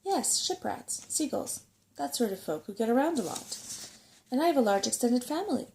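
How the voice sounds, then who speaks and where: A woman's voice: on the high side, big pitch swings, moderate pace, creaky, darkish tone, slight background noise, voice pushed up, poor audio. A woman voicing a self-satisfied old male rat, a chatty and cautious know-it-all, in a bedtime story. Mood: boastful, grumbling.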